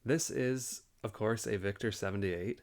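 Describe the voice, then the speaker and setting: A man presenting as a collector in an informative explainer style, measured and articulate. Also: on the low side. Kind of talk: informative explainer to camera or over shown records.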